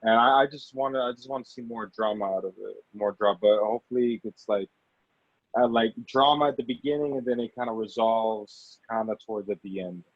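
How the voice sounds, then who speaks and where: A man being interviewed as an actor, chatting casually and haltingly, often repeating phrases for emphasis. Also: on the low side. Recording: dull, muffled lo-fi audio.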